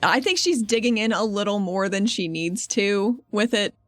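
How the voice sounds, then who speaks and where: A woman's voice: medium pitch, animated, normal speed, no echo, soft background music. Woman playing a tabletop game, dry sound. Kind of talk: casual in-game narration.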